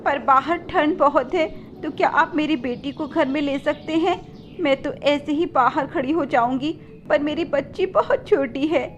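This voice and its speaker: A woman voicing an earnest, gentle mother bird who pleads for help in a cartoon. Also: high voice, moderate pace, dull audio, a little hiss or hum, pitched above the performer's own voice, natural voice. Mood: pleading.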